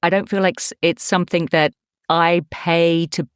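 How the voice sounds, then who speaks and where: A woman's voice: lowish voice, flat delivery, normal speed, smooth, dry recording, studio quality. A middle-aged woman with an English accent, chatting casually as a guest in an interview. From East Anglia, England. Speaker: podcast guest, voice actor.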